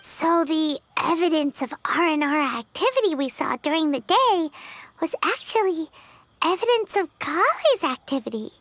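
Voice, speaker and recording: Squeaky little floating sprite buddy, super high, jumps all over the place. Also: female voice, lively intonation, moderate pace, a bit bright, no room sound. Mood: thoughtful. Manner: chirpy, exclaims often, talks about herself in third person. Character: tiny floating girlish companion sprite, excitable. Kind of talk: game voice acting.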